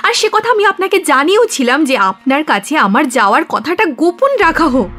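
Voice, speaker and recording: A woman's voice: high voice, a bit bright. A woman playing a proud upper-class lady in a radio drama, theatrical, agitated and defiant.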